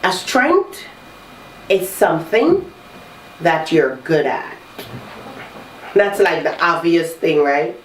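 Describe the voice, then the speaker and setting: A woman hosting a talk show, congested from a cold, coaching listeners in a slow, measured way. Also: medium pitch. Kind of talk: reflective self-help talk. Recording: roomy echo, distant mic, faint noise.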